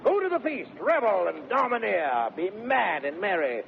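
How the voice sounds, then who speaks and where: An actor playing a headstrong, domineering bridegroom in declamatory 1930s Shakespearean radio style. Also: male voice, sits high, very animated, normal speed, creaky, full character voice. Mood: boisterous, domineering. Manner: declamatory, theatrical. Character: swaggering, headstrong bridegroom, boisterous and domineering man. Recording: muffled, noisy 1930s radio broadcast recording.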